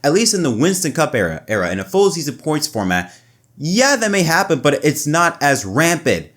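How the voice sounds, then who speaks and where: A man's voice: on the high side, big pitch swings, normal speed, a bit bright. Emphatic man giving racing commentary. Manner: emphatic, repeats words and phrases. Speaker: motorsport commentary video host. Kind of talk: opinionated race analysis commentary.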